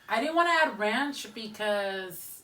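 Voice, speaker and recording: A woman's voice: mid-range voice, expressive, measured pace, fairly bright tone. A woman in casual family conversation, explaining things plainly like a home cook talking to her household. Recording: distant mic, faint background noise.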